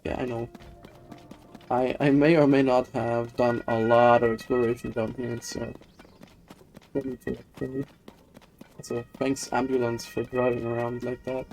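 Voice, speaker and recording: A man's voice: mid-range voice, flat delivery, measured pace, pauses often, a little mellow, soft background music, rough recording. A man giving casual, rambling live commentary on a video game, thinking aloud in a self-deprecating way. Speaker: game streamer.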